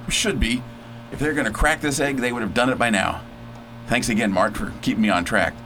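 A man's voice: mid-range voice, a little fast, fairly bright tone, faint music behind, close to an everyday voice. A man playing a breezy, decisive space adventurer who leads in slangy, commanding talk in a radio drama. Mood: calmer.

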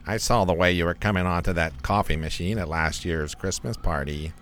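Deep, sardonic starship engineer played by a man. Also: a little fast, fairly bright tone, a little hiss or hum. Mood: dry, sardonic. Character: practical, sardonic male starship chief engineer. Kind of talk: parody radio play acting.

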